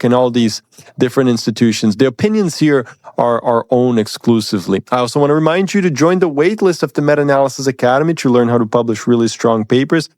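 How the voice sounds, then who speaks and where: A man's voice: mid-range voice, a little mellow, no room sound, clean studio sound. A man hosting a podcast in an upbeat, enthusiastic way, with many fillers. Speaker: podcast host.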